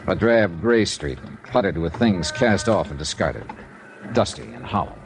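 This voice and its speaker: An actor playing a tough, steady insurance investigator who narrates and questions tersely in an old-time radio detective drama. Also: male voice, low-pitched, a little slow, gravelly, a little hiss or hum, exaggerated voice. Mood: somber narration. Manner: terse, hard-boiled, questioning.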